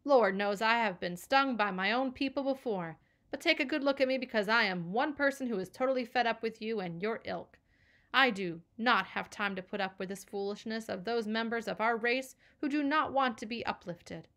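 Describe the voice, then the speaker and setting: A woman's voice: a little high, normal speed, a bit bright. A woman voicing a stern, haughty middle-aged foster mother who scolds in stiff, formal language. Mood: scolding. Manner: formal, scolding, pompous diction.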